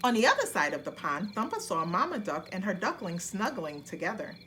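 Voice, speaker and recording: A woman narrating a children's bedtime story in an expressive, deliberate storytelling manner. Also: medium pitch, slight background noise.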